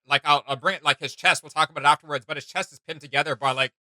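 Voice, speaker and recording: A man's voice: a little high, fairly even intonation, racing, pushed and bright, dry recording, studio quality. A man giving casual reaction commentary as a host, rambling and acting out imagined quotes as he goes.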